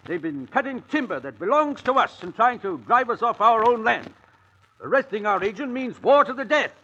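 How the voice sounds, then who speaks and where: High-pitched, belligerent hothead. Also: male voice, lively intonation, moderate pace, bright, forceful tone, breathy edge, exaggerated voice. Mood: indignant, belligerent. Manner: blunt, indignant, confrontational. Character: hot-headed, belligerent man from Maine demanding war. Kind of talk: old-time radio drama acting. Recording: dull, lo-fi old radio recording.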